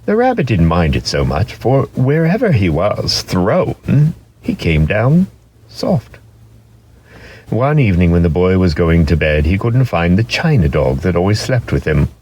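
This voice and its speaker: A man narrating a children's story dramatically, expressive and lingering on key words for emphasis. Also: sits low, unhurried, a little hiss or hum.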